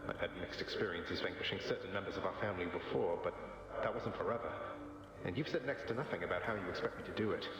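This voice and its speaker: A man's voice: sits low, fast talker, lightly acted. A man playing a good-natured young underworld prince in a video game, with a wry, dry, casual manner. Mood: wry, thoughtful. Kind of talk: conversational video game acting. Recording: heavily echoing hall with music.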